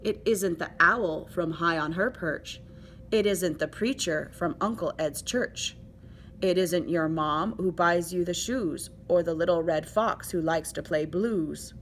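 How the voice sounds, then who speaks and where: A woman's voice: fairly low pitch, measured pace, slight background noise. A woman reading as a devoted, secretive male toad suitor in a children's storybook. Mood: firm, secretive.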